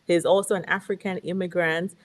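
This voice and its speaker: A woman's voice: fairly low pitch, little pitch movement, a little fast. A woman hosting an interview in a conversational style, introducing and questioning her guest. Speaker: interview host.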